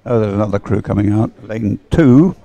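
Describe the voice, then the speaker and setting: A man's voice: lowish voice, normal speed, creaky, dark tone. A man giving live, unscripted sports commentary on a race in an informal, hesitant way, as if thinking aloud.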